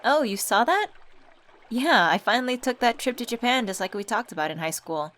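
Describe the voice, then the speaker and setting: A woman's voice: fairly high pitch, expressive, fairly quick, a bit bright. A young woman acting as a teasing, affectionate old friend in a casual ASMR comfort roleplay. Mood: fond, casual. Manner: playful, teasing, familiar slangy chat.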